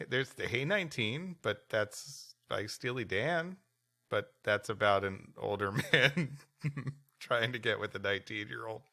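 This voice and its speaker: A man's voice: lowish voice, a little slow, bright, forceful tone. A man co-hosting a live podcast, bantering and reading stories aloud in a mock-announcer manner. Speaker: podcast co-host.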